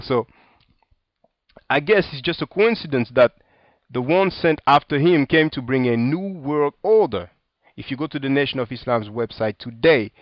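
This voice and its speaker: A man's voice: medium pitch, no echo, highs cut off. A man with a French accent, whose first language is French, giving a sarcastic, combative video commentary in loose street slang while reading from a book and a website.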